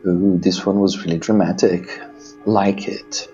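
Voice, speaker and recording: Slow-paced man hosting over music. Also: low-pitched, steady tone, mellow, dull audio. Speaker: host introducing readers' contributions. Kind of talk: casual hosting between clips.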